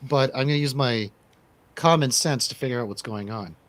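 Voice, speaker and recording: A man's voice: medium pitch, moderate pace. A man chatting casually as a panelist on a movie review show, with a conversational, joking manner. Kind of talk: casual chat.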